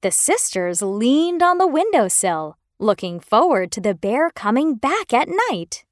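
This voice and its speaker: A woman narrating a scripted children's fairy tale in an expressive, careful way. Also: a little high, measured pace, no room sound, clean studio sound. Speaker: storyteller.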